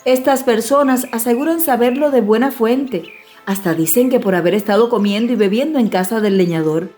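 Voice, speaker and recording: A woman narrates a children's fairy tale in a fairly high voice. Her delivery is scripted audiobook storytelling, with soft music playing underneath. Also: brisk.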